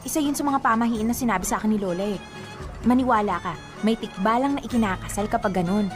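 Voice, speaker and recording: An actress playing a guileless, playful young woman in a radio drama, chirpy and expressive. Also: on the high side, fast talker, lightly acted. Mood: playful, sweet. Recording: faint music behind.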